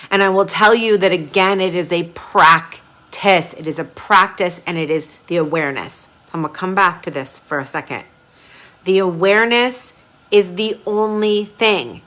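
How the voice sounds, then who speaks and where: A woman brand coach leading a live group coaching session. She talks casually and chattily, with sudden gushing bursts of excitement. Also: lowish voice. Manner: casual, chatty, with frequent fillers.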